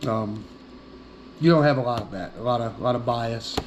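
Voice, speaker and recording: A man's voice: medium pitch, normal speed. Male product reviewer, folksy and plain-spoken, mid-range voice, casual hands-on delivery, faint noise behind.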